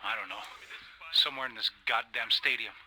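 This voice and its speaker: A man's voice: medium pitch, very animated, brisk, creaky, crowd noise, rough recording. An actor playing an urgent, streetwise gunman partner in a video game, talking in an informal, pressed way. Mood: grim, tense. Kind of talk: video game cutscene acting. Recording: loud action noise and music behind.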